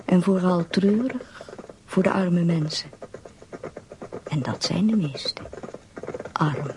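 A woman playing a solemn folktale narrator in a radio drama, measured and dramatic, sometimes hushed. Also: fairly low pitch, slight background noise.

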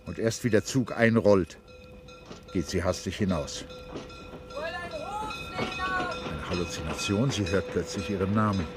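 Deep-voiced male radio play narrator. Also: huge pitch swings, slow, pauses often.

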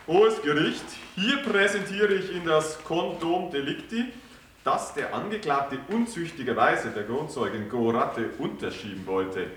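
Man doing a sneering, self-righteous prosecutor with a sharp bright voice, echoey room, faint hiss. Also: on the high side, expressive, a little slow. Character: smug, bigoted male state prosecutor. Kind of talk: 1980s amateur radio play. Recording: roomy echo, faint hiss.

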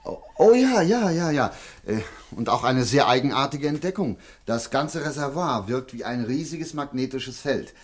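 An actor playing an earnest, excitable doctor in a German radio play, courteous and hesitant, often repeating his words. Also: mid-range voice, moderate pace, few pauses, natural voice. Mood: excited. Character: earnest male doctor investigating strange disturbances, courteous, excitable.

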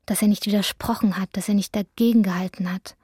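A woman's voice: mid-range voice, fairly even intonation, fast, warm tone, husky, dry recording, studio quality, close to an everyday voice. A woman narrating a literary radio drama, recounting an anxious man's inner thoughts. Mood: reflective, measured.

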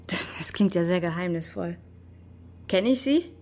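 A woman's voice: on the low side, lively intonation, darkish tone. An actress playing a composed, guarded, slightly coy young woman in a German radio drama. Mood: coy, polite. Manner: guarded, measured, drops into breathy whispers.

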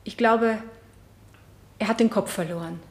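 A woman's voice: mid-range voice, unhurried, lightly acted. A woman playing a shaken wife on the witness stand in a 1970s German radio drama. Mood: strained, subdued. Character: shaken wife testifying at her husband's murder trial. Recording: roomy radio play studio sound.

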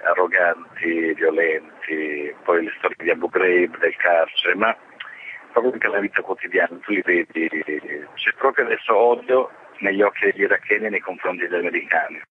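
A man gives a spontaneous eyewitness account in an interview, with halting, emphatic phrasing. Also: low voice. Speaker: journalist interviewed about his trip. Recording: noisy, muffled phone-line radio interview.

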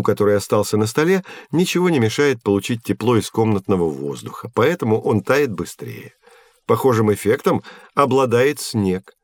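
Science teacher, played by a man for educational audio drama: low, patient, articulate, recorded dry. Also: a little mellow, no room sound, lightly acted. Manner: measured, explanatory, articulate. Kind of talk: scripted educational audio play.

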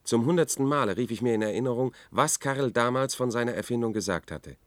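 An actor in a German radio drama playing an anxious, brooding man, measured and reflective in his telling. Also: mid-range voice, dry recording, natural voice. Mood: urgent.

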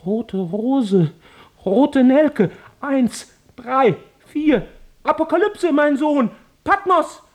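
An apocalyptic female visionary for a radio play. She intones short poetic phrases at a very drawn-out pace, her pitch rising and plunging. The effect is menacing and ritual. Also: expressive, full character voice. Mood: feverish, ominous. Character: visionary, menacing woman chanting apocalyptic incantations. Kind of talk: poetic radio drama monologue.

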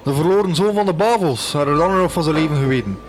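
Male actor: slightly high, blustering village innkeeper. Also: lively intonation, quick pace, natural voice.

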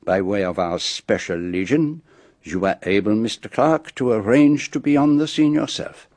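An actor playing a brilliant, self-assured foreign detective with a French accent, explaining precisely and theatrically in a radio drama. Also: male voice, on the low side, normal speed, darkish tone, no room sound, close to an everyday voice. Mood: methodical, accusing. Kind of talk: BBC radio drama acting.

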